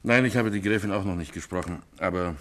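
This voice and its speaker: A formal, deferential policeman in an old radio play, reporting in a sober, matter-of-fact way. His voice is extremely low, with a deep and heavy bottom. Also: quick pace, fairly bright tone, slight background noise. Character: formal male police inspector. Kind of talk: 1950s radio play acting.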